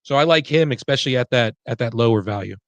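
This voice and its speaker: A man chatting casually as a sports show panelist, doubling back on his words in a halting way. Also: mid-range voice, expressive, dry recording. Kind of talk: casual chat.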